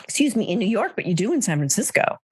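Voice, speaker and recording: A woman's voice: mid-range voice, sing-song, fast talker, breathy edge, no room sound. An older woman hosting a casual, chatty conversational interview in an easygoing storytelling manner. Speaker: podcast host.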